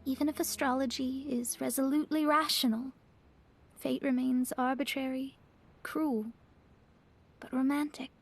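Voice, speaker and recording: A woman playing a proud, thoughtful young woman in a video game, speaking in deliberate, formal phrases. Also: high-pitched, little pitch movement, faint music behind. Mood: wistful, resolute. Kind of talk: video game cutscene acting.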